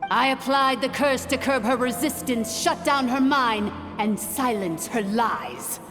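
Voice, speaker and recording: A woman's voice: a little high, animated, measured pace. A woman playing an arrogant, cruel, ancient dragon ruler in a video game, haughty, grandiose and contemptuous. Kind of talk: video game villain acting. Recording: long reverb, music behind.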